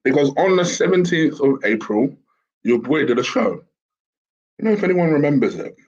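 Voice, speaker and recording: A man, hoarse from a sore throat, hosting a casual solo commentary show in a chatty, rambling way. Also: a little high, animated, moderate pace, darkish tone. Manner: chatty, rambling with fillers and restarts. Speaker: host. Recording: dull lo-fi home recording with fan.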